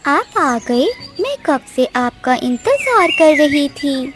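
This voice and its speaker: A woman's voice: sits high, big pitch swings, slow pace, loud music behind the voice, full character voice. A woman voicing a coy, scheming, sweet-talking female crow in a cartoon story. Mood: sweetly coaxing. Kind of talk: Hindi cartoon story dialogue.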